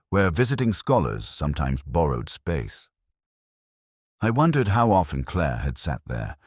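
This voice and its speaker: A man narrating a scripted first-person detective story in a measured, literary, reflective manner. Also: very deep voice, no room sound, clean studio sound.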